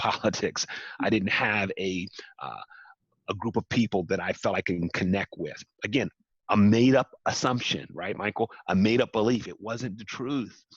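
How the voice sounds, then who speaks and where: Low-voiced earnest man, dry and dull. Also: slightly rough, no room sound. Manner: earnest, builds to emphatic repetition. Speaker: guest. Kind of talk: reflective interview talk.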